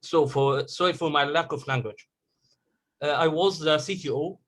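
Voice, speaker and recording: Halting Egyptian man on a call. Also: fairly high pitch, a bit bright. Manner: halting, searching for words. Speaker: agency owner sharing story. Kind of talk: casual group call.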